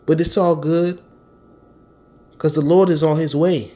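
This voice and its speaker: A man's voice: fairly high pitch, fairly even intonation, measured pace, dark tone. An American man delivering a fervent, informal sermon in a confrontational, slangy manner, repeating phrases for stress. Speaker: street-style preacher and Bible teacher.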